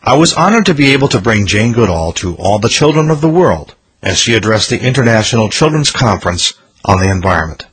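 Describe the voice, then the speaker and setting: A man narrates a radio show's year in review in an earnest, promotional tone. His voice sits low and carries a husky grain. His intonation rises and falls in a lively way. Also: a little fast, hardly any pauses, low-quality recording. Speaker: radio show host.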